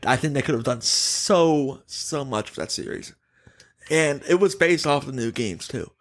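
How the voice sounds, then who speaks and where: A man hosting a casual gaming podcast, giving opinions emphatically in a halting, self-correcting way. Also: medium pitch, expressive. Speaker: podcast host.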